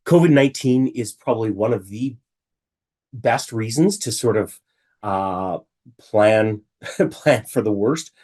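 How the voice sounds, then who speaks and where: A man with a somewhat low voice that has gone raspy from illness talks through a casual webinar presentation from his home. He is middle-aged and chatty, and he keeps checking in with rhetorical questions. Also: darkish tone.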